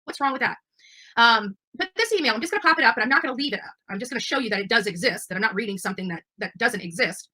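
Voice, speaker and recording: Woman streamer chatting away super quick, kinda high voice, rambly and defensive. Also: lively intonation, pushed and bright, rough recording. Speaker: livestream channel host. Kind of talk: casual livestream monologue to audience.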